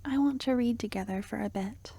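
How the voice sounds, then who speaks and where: Woman acting a gentle, breathy, devoted young necromancer. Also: on the high side, brisk. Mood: warm, excited. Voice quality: soft, breathy, half-whispered. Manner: tender, intimate, endearing. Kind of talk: softly spoken ASMR fantasy roleplay.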